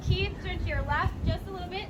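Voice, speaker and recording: High-voiced woman calling clipped directions. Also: a little fast, noisy background. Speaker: caller guiding blindfolded teammates. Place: outdoors.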